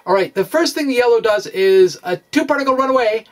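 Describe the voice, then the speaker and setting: A man giving an informal science explanation over animations, speaking haltingly and correcting himself as he goes. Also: extremely high pitch, animated. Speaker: science video blogger.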